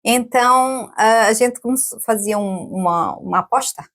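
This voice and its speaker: A woman in her forties talks as a guest on a podcast, her voice sitting a little high. She chats casually, laughs easily and keeps checking in with little tag questions. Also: measured pace, fairly bright tone. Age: 40s. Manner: chatty, laughs often, many tag questions. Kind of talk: casual interview chat.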